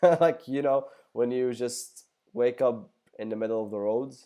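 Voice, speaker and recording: A man's voice: medium pitch, unhurried, dark tone. Young Arabic-native man answering fan questions. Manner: rambling, joking, loose asides. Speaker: gaming YouTuber. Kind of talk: casual Q&A video.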